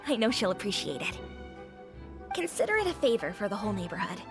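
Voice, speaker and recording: A woman's voice: mid-range voice, very animated, fast, music in the background. A woman playing a caring, hospitable restaurant owner in an anime-style video game, talking in an easygoing, neighbourly way. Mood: fond, kindly.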